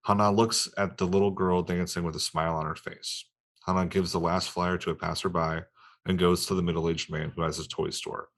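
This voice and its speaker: A man's voice: sits low, level tone, a little fast. A man reading stage directions as the narrator of a screenplay table read.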